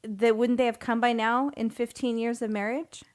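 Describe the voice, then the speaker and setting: A woman in her thirties co-hosts a casual podcast in a voice pitched a little high. She answers in a dry, defensive way, often with a laugh. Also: level tone, moderate pace. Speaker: podcast co-host. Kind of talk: casual chat.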